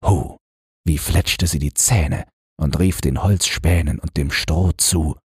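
Very deep, slow, breathy male narrator. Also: no echo, clean studio sound. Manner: slow, soothing and even. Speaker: audiobook reader. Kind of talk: calm bedtime story narration.

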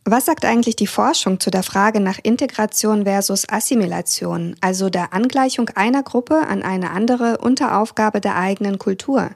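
A woman's voice: medium pitch, moderate pace, gravelly, hardly any pauses, darkish tone, husky, no echo. A woman presenting and asking interview questions in a prepared, scripted way.

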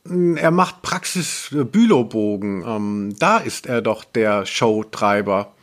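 A man's voice: on the low side, expressive, slow. A man hosting a podcast in casual, colloquial chat, rambling along and dropping in English slang. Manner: rambling, colloquial, anglicism-sprinkled. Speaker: podcast host.

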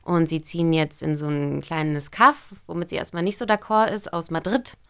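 A woman's voice: sits low, steady tone, normal speed, a bit bright, clear, resonant tone. A woman voice actress talking casually as a podcast guest, chatty and full of small fillers. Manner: chatty, many fillers and restarts. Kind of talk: casual interview chat.

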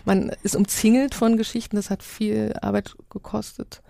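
Female podcast guest, mid-pitched, informal storyteller who thinks aloud, recorded dry. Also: little pitch movement, normal speed, creaky, warm tone, no room sound. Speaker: guest, author of an audio documentary project. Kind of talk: spontaneous first-person account in panel discussion.

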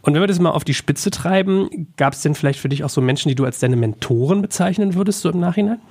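A man's voice: a little high, fast talker, a little mellow, breathy edge. A man hosting an interview in a casual, probing, informal conversational style. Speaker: podcast interviewer, host.

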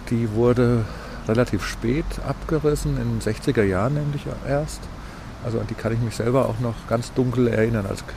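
A man's voice: mid-range voice, creaky. A middle-aged man from Hesse in Germany, acting as a local guide and explaining things in a casual storytelling way. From Lauterbach, Hesse, Germany. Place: outdoors on a walk through town. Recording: noisy outdoor recording, traffic ambience.

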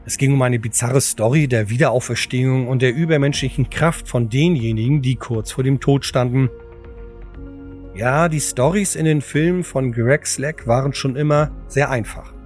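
A man's voice: mid-range voice, normal speed, a little mellow. A man narrating a scripted audio drama in a dramatic storytelling style. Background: music.

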